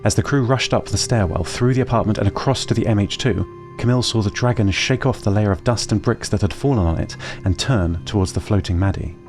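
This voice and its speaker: Man, scripted audio-drama narration, fairly low voice, calm and measured, faint music behind.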